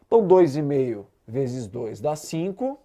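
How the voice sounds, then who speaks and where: A man's voice: mid-range voice, sing-song, unhurried, warm tone. A man with a Brazilian accent teaching a math lesson, explaining step by step and checking understanding with short tag questions. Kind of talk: explanatory exam-prep lesson.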